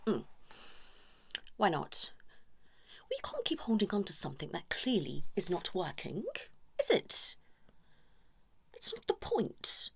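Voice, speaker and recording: A woman's voice: lowish voice, wildly swooping pitch, takes it slowly, vocal fry, pauses often, breathy edge, full character voice. A British woman playing a cheeky, blunt couples therapist in an improvised, whispered ASMR roleplay, rambling with hesitant asides. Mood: blunt, probing.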